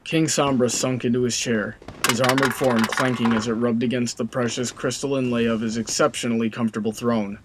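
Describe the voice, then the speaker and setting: Male storybook narrator, mid-pitched. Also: steady tone, a little fast, hardly any pauses, noisy background. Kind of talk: scripted dramatic storytelling narration.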